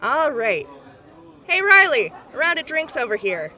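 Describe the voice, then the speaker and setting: A woman's voice: high voice, very animated, measured pace, sharp tone, soft background music, heavily acted. A woman voicing a cheerful, gossipy clerk going over paperwork in an audio drama. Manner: bubbly and gossipy.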